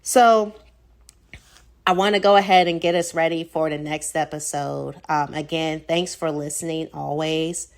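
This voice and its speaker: A woman's voice: low voice, steady tone, unhurried, gravelly. An American woman with a Southern accent hosting her own podcast, talking casually and reflectively with many fillers. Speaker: podcast host. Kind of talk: solo podcast monologue.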